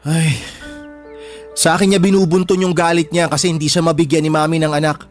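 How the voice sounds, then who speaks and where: An actor playing a wounded, bitter younger brother with a Filipino accent in a radio drama, emotional and plaintive. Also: male voice, fairly high pitch, flat delivery, fairly quick, lightly acted. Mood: resigned. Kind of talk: Tagalog radio drama acting. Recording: background music throughout.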